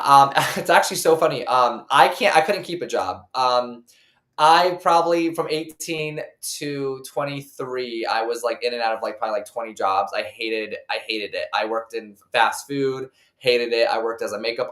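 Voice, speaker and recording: A man's voice: medium pitch, moderate pace, a bit bright, echoey room. A chatty American man in his twenties from Ohio, casually telling personal stories as a podcast guest, with "like" sprinkled throughout. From Ohio, United States. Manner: chatty, peppered with "like". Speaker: podcast guest, content creator.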